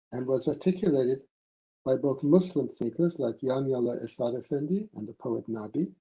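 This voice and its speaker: Man reading a scholarly paper, very dark-toned. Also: medium pitch, low-quality recording. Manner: measured, deliberate phrasing in short segments. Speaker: academic presenting a conference paper. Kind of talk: formal scholarly lecture read from a prepared text.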